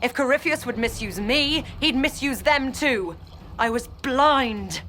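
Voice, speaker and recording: An actress playing a proud, idealistic mage lieutenant in a video game cutscene, speaking formally and grandly. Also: female voice, fairly low pitch, measured pace, a bit bright, soft background music. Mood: bitter dismay.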